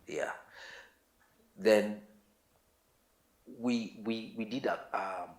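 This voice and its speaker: A man's voice: lowish voice, extremely slow. A middle-aged man with a Kenyan accent, reminiscing as a musician guest in a casual interview. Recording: echoey room.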